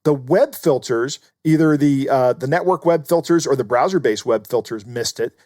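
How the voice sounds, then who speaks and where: A man's voice: medium pitch, moderate pace, a little mellow, dry recording. Man explaining things in dry, close sound. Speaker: podcast co-host. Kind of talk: conversational commentary.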